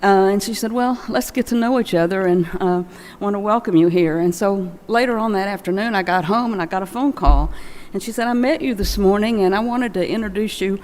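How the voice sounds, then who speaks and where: Older woman, folksy informal storyteller at a remembrance, average pitch, long church reverb. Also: fairly quick, few pauses, a little mellow. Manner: folksy, anecdotal. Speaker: friend giving a remembrance. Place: large church, at a memorial gathering. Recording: long echo of a large church hall.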